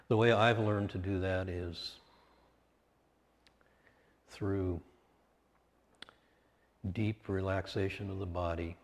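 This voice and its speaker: A man leading a guided meditation in short, measured phrases with pauses between them. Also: low voice. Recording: long hall-like reverb.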